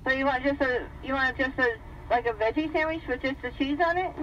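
Female fast-food order taker, quick-talking, muffled intercom with faint noise. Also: high voice, a bit bright. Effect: drive-thru intercom. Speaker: drive-thru order taker. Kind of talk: customer service order taking.

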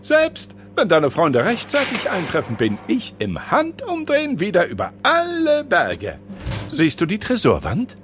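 An actor playing a vain, gloating diamond thief and inventor in an audio play, theatrical and smug. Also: male voice, high voice, huge pitch swings, a little slow, music in the background, full character voice. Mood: smug, boastful.

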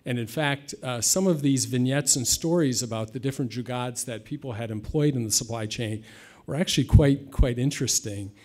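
A man's voice: mid-range voice, a little fast, darkish tone. A man in his thirties giving a conference presentation from a stage podium, with light humor and frequent fillers, speaking English as his first language. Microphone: podium mic.

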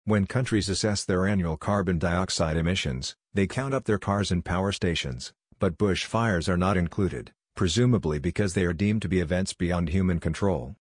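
A young man in his twenties reading an exam sample passage steadily and clearly. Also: low voice, moderate pace, few pauses, no room sound, clean studio sound. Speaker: sample answer reader. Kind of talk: exam read-aloud sample.

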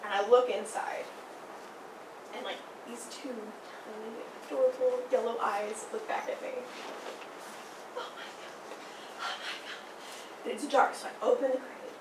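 Lively young woman, roomy distant recording. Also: on the high side, measured pace, long pauses, noisy background. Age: young adult. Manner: animated, acts out quoted voices. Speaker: storyteller. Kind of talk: casual personal anecdote. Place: small indoor room by a theatre poster.

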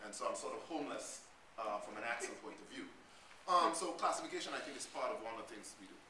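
A man's voice: mid-range voice, animated, quick pace, sharp tone, slight background noise. A middle-aged man from Trinidad with a slightly Americanized Trinidadian accent, giving an academic lecture at a podium and speaking thoughtfully with frequent ums and restarts. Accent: Trinidadian, somewhat Americanized. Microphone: podium mic, recorded from a far camera. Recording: echoey hall, far from the mic.

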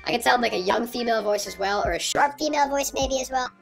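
Man as a very fast young lady, voice-changed. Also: very high-pitched, creaky, over music, pitched above the performer's own voice. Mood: upbeat.

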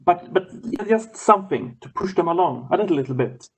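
Halting Swedish-accented man on a video call. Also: fairly high pitch, lively intonation, slightly rough, rough recording. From Sweden. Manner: halting, many restarts and repeated words. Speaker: guest lecturer.

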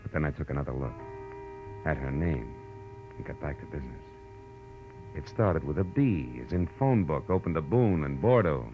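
A man's voice: a bass voice, expressive, normal speed, pauses often. A man playing a wry, tough American private detective in a 1940s-style radio drama, wisecracking as he narrates his case. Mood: wry narration. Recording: dull old radio recording with music.

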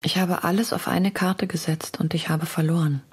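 Low, breathy, lovesick young poet. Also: female voice, steady tone. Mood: resigned. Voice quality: breathy, hushed. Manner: intimate, measured literary reading. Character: young woman poet reading her letters, torn, lovesick. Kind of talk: dramatic letter reading for radio feature.

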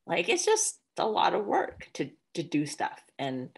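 A woman's voice: lowish voice, wildly swooping pitch, unhurried, vocal fry, slightly rough. A young woman, an author, speaking casually on a video call from home, with many "like" fillers. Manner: casual, filler-heavy with frequent "like". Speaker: guest author. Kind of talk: casual conversation. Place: home room, indoors.

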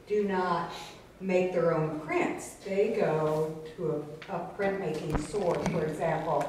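A woman with a slightly low voice, speaking slowly and giving advice on a panel. She is heard from far off in a strongly echoing room. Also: steady tone, darkish tone, slight background noise. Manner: unhurried, advising. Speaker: print collector on a panel. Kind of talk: casual panel discussion. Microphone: far camera.

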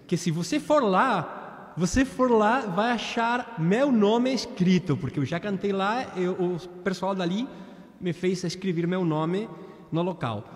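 A man's voice: high voice, measured pace. A man in his thirties with an Argentine accent, chatting casually with viewers during a live-stream singing session and groping for words as he switches languages. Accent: Argentine Spanish. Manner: chatty, switching between Spanish and Portuguese, searching for words. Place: small indoor room with a virtual beach backdrop. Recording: long reverb on the voice.

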